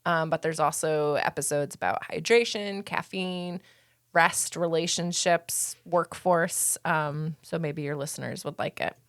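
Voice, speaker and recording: A woman in her thirties, a podcast guest, talking casually in a brisk, self-deprecating way. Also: sits low, no echo, clean studio sound. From born in Belgium, grew up overseas, Maine. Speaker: podcast guest, community program director.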